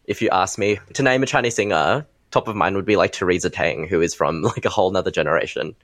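A man co-hosting a casual chat, relaxed and laid-back, often saying "like". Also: sits low, quick pace, no echo, studio quality. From Australia.

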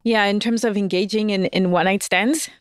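A woman's voice: medium pitch, steady tone, brisk, smooth, dry recording, studio quality. A woman as a podcast guest, chatting casually, laughing often and telling stories with animation. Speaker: guest, audio fiction writer. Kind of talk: casual interview chat.

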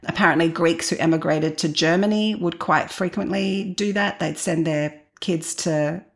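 A woman's voice: fairly low pitch, normal speed. A woman in her forties telling personal stories as an interview guest, reflective and candid in a conversational way. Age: 40s.

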